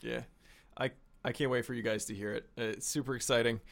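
A man hosting a podcast's behind-the-scenes chat in a relaxed way, with lots of fillers. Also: mid-range voice, fast talker, vocal fry. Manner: relaxed, filler-heavy, laughs often. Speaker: podcast producer and host.

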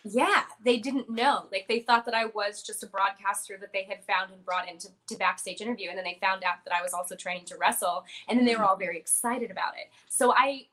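A woman's voice: mid-range voice, steady tone, brisk, few pauses, sharp tone, rough recording. A young woman, a wrestler and former radio broadcaster, answering interview questions in a confident, chatty way with frequent filler words.

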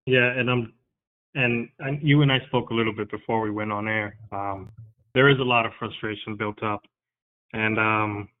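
A man in his thirties from New York, a guest speaking earnestly and haltingly about something that moves him. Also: on the low side, steady tone. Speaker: interview guest. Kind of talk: candid interview talk. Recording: tinny phone-like line.

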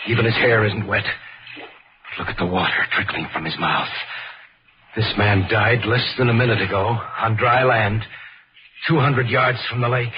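A man's voice: on the low side, natural voice. An actor playing a grave, observant man investigating a mysterious death in a 1950s radio drama, speaking with tense deliberation. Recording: noisy, muffled old radio recording.

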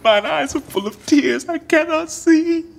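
A man's voice: very high-pitched, expressive, unhurried, vocal fry, heavily acted. An actor playing a deposed English king in a Shakespearean radio play, declaiming verse in an anguished, mournful and bitterly proud way.

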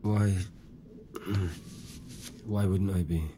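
A man's voice: low voice, fairly even intonation, drawn-out words, background noise. An actor playing a sardonic, bewildered man in an audio drama, questioning everything in a naturalistic way.

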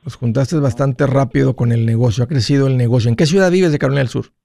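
A man's voice: mid-range voice, quick pace, vocal fry, dark tone, no echo. A man hosting a call-in advice show, talking in a folksy, conversational way. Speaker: host of a personal finance call-in show.

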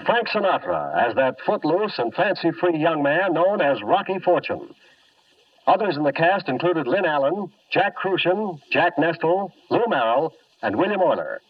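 A man's voice: fairly high pitch, very animated, moderate pace, breathy edge. A man announcing in a formal 1950s radio style. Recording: dull, distant 1950s radio recording.

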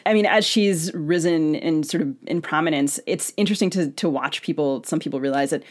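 Woman, deep pitch, rapid pace, conversational podcast co-host, discussing and questioning.

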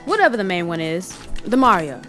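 A woman's voice: on the low side, sing-song, fairly quick, a bit bright, soft background music. A woman acting a brash, cheeky sneak thief in an audio comedy drama, with glib, teasing, slangy patter. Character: brash, cheeky sneak thief named Simon, sociable hustler.

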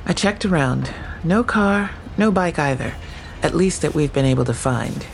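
Gravelly, probing detective. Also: male voice, on the high side, animated, moderate pace, a little hiss or hum. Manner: questioning, insistent. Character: male detective, probing and persistent.

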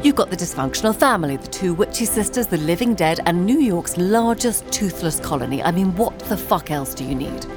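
An actress playing a proud, sardonic, cultured nineteenth-century woman music teacher in an audio drama, with a haughty, dramatic, sharp-tongued manner. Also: lowish voice, lively intonation, a little fast, hardly any pauses, close to an everyday voice. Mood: determined, persuasive. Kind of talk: audio drama acting with confessional narration.

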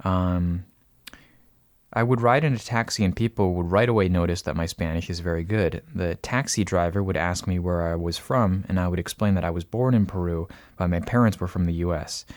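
A man hosting a casual podcast, reading out a listener's letter and talking thoughtfully with many pauses and fillers. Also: low-pitched, darkish tone, no room sound, clean studio sound. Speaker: podcast host.

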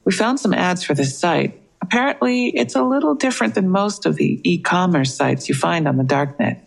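A police investigator in a crime audio drama. The delivery is matter-of-fact and knowing, in a medium pitch with a gravelly creak. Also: animated, moderate pace, low-quality recording.

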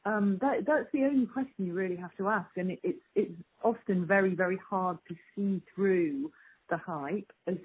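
Woman on a tinny phone-like recording, husky, earnest, talking strategy in an interview. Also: medium pitch, normal speed. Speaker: agency strategy executive being interviewed. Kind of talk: conversational interview answers. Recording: poor, muffled phone-like lo-fi sound.

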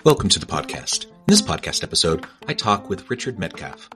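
Deep-voiced male podcast host. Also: sing-song, a little fast, a bit bright, faint music behind. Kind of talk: podcast interview.

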